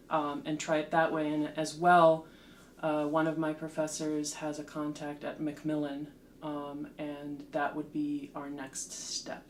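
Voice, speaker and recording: Slow, measured woman. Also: low voice, darkish tone. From Slovakia. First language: Slovak. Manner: measured, with frequent ums and restarts. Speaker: translator and lecturer, guest. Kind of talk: thoughtful interview answers. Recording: roomy echo with faint background hum.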